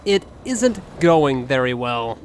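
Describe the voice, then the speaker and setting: A man's voice: medium pitch, big pitch swings, takes it slowly, noisy background. A man hosting a gaming video with playful, joking commentary and a theatrical streak.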